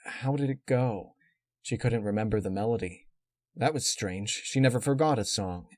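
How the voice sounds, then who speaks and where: A man's voice: lowish voice, normal speed, darkish tone, no room sound, clean studio sound. A man reading scripted fiction aloud as its narrator.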